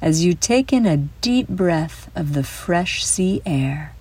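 Slow, low-voiced woman guiding kids' relaxation. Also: animated, breathy edge. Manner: soothing, deliberate instruction with gentle emphasis. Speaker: host and guide of a children's mindfulness audio show. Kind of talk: guided visualization, scripted narration for kids.

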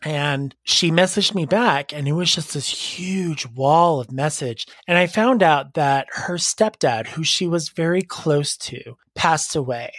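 A podcast host with a raspy voice, telling a heartfelt story and giving advice in a casual, rambling way. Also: mid-range voice, measured pace, hardly any pauses, fairly bright tone, no room sound. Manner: earnest, heartfelt, rambling with fillers. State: raspy voice today.

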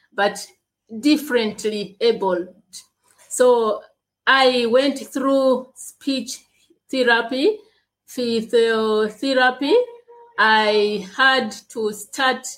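A woman from Uganda, answering interview questions in slow, halting speech with long pauses. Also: a little high, fairly bright tone, rough recording. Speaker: guest, leadership coach and speaker.

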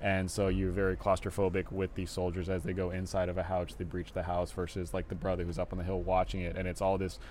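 A man, a film director, answering interview questions in a relaxed, rambling way full of fillers, recorded live at a festival venue. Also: deep voice, fast talker, few pauses, a little hiss or hum.

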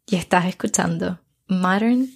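A woman's voice: on the low side, measured pace, gravelly, very noisy. A woman hosting a podcast. She talks in a chatty, upbeat way and repeats words for emphasis, both in her intro and while interviewing. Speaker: podcast host.

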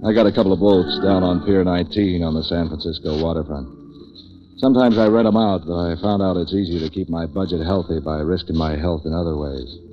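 An American man playing a cynical, street-wise waterfront hustler in deadpan 1940s radio noir style. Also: deep voice, close to an everyday voice. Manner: hard-boiled, deadpan wisecracking. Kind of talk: 1940s radio noir acting, first-person narration. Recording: dull, lo-fi 1940s radio recording.

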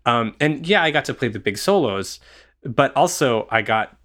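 A man's voice: medium pitch, lively intonation, moderate pace, fairly bright tone. A man in his twenties, an orchestral horn player, answering interview questions in an earnest, easygoing way with light laughs and frequent "um"s. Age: 20s.

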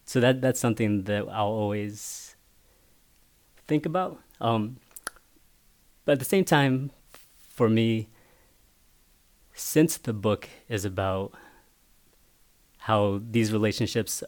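A man whose first language was Vietnamese, a picture book author talking casually in an interview, thoughtful and halting with many restarts. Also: on the low side, darkish tone.

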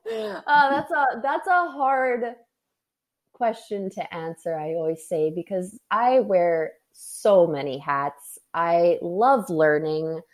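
A woman's voice: lowish voice, slow. Upbeat Canadian woman in her twenties. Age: 20s. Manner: upbeat, laughs often. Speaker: guest. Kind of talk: casual interview chat.

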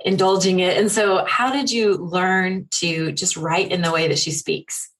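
A woman's voice: on the low side, steady tone, unhurried, fairly bright tone, low-quality recording. A woman hosting an interview, asking questions and responding in an enthusiastic, affirming way. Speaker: interviewer host.

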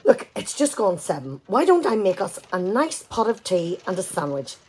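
Woman playing a wife in a radio drama, husky voice, really expressive, practical and no nonsense. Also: mid-range voice, normal speed. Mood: conversational. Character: practical, firm, mildly disapproving wife. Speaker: amateur radio play actor. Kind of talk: domestic radio play dialogue.

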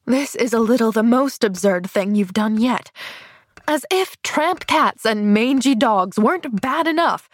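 A woman voicing a stern, exasperated middle-aged aunt in an audiobook, with a sharp, clipped, scolding delivery. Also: on the high side, animated, measured pace, no echo. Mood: indignant. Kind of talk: audiobook character voice.

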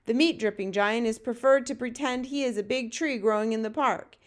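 A woman's voice: fairly high pitch, a little fast, lightly acted. Woman voicing an earnest folksy storybook giant. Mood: earnest explaining. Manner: muddled, quaint grammar, explaining. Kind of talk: children's storybook character voice.

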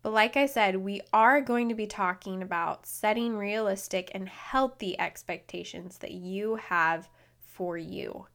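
A woman in her thirties with a hoarse voice, hosting a solo podcast and talking in an earnest, encouraging way. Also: mid-range voice, unhurried, a bit bright. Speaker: podcast host.

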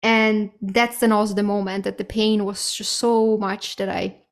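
A woman's voice: medium pitch, flat delivery, normal speed. A woman in her thirties hosting a solo podcast, talking candidly and casually, with frequent fillers and the odd swear word. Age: 30s. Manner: candid, casual and lightly profane, with frequent "like" and "right?". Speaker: podcast host. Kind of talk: reflective solo podcast monologue.

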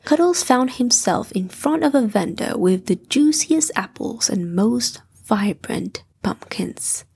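A woman narrating a scripted bedtime story in a soft, breathy, gentle and expressive storybook manner. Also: fairly high pitch, takes it slowly.

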